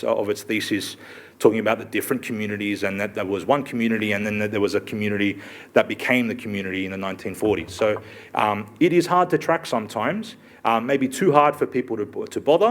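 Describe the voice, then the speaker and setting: A middle-aged Australian man giving a public lecture, halting with many fillers and restarts. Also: fairly low pitch. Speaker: guest speaker.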